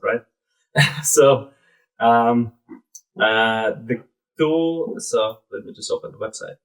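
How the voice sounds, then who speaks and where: A man in his thirties with a Belarusian accent gives an informal live presentation in a halting, conversational way, often saying "like" and "basically". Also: mid-range voice, poor audio. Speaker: presenter, startup founder.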